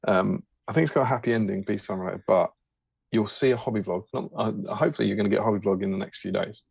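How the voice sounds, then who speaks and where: Low husky man joking around. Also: fairly even intonation, fast, darkish tone, no room sound. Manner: jokey, rambling, full of fillers. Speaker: host. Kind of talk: casual stream chat.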